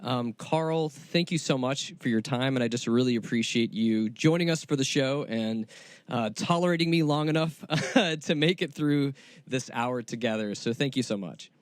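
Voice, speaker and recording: A man hosts in a casual, effusive style. His voice is medium in pitch and informal in delivery, captured dry with little room around it. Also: moderate pace, no echo. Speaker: show host. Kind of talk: casual interview hosting.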